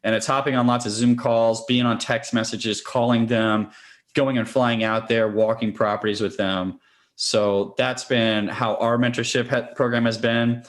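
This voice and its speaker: A man's voice: mid-range voice, level tone, measured pace. A man speaking as a podcast interview guest, a real estate investor explaining things conversationally and step by step.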